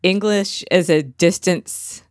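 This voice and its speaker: A woman's voice: on the low side, takes it slowly, no echo, studio quality. A woman hosting a podcast, introducing her guest and asking curious follow-up questions. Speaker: podcast host. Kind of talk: casual interview.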